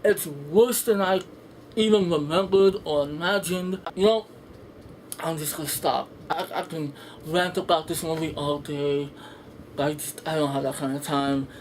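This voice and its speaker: A woman's voice: deep voice, moderate pace, darkish tone. Female reviewer for an improvised film rant: fed up, blunt and swearing freely, with emphatic delivery and some background noise. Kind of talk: unscripted ranting movie review.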